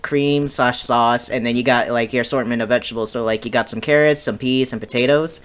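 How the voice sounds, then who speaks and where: A man in casual conversation as a podcast host. His pitch sits in the middle, and he talks loosely, with plenty of fillers and a joking tone. The sound is a voice chat recording. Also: fairly even intonation, brisk, a bit bright, smooth. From United States. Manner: laid-back, joking, lots of fillers and laughter.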